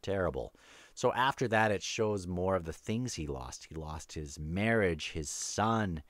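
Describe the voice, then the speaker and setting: A man giving casual read-along book commentary, halting and thinking aloud as he moves between quotes and his own remarks. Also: sits low, animated, dry recording. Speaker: book discussion host.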